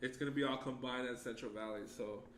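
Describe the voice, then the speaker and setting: A man's voice: mid-range voice, steady tone, quick pace. A young man hosting a casual podcast chat, easygoing and slangy, often ending lines with "bro.". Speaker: podcast host.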